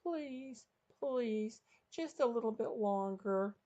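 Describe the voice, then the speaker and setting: Man putting on a high, sweet pleading young woman. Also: takes it slowly, mellow, lo-fi sound. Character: pleading, tender young woman attached to her pet.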